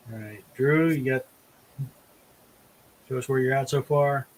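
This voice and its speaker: A man's voice: medium pitch, measured pace, a little hiss or hum, poor audio. A man casually moderating a livestream as host, in short conversational turns. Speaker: host moderating a drawing show.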